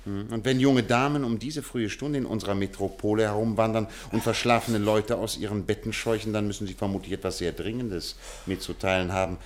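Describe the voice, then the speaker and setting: A man's voice: lowish voice, fairly even intonation, a little fast, few pauses, a little hiss or hum, lightly acted. A man acting a courteous, observant, self-assured detective in a German radio play, speaking formally and precisely. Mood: brisk, teasing.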